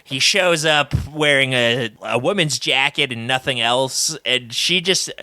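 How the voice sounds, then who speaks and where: A man's voice: medium pitch, unhurried, sharp tone, dry recording. A man co-hosting a movie podcast, chatting casually and jokingly, laughing a lot as he recaps scenes. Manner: jokey, laughs often, quotes scenes in silly voices. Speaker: podcast co-host. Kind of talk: casual movie review chat.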